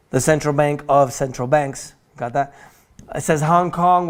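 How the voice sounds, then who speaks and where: A man's voice: on the high side, darkish tone, clear, resonant tone. A man hosting a financial news roundup, reading items from notes in a chatty, informal way, with casual asides and stumbles that he corrects as he goes.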